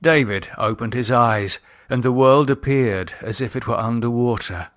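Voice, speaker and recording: A man's voice: medium pitch, normal speed, a bit bright, no room sound, clean studio sound. A man reading his own horror novel as a scripted audiobook narration.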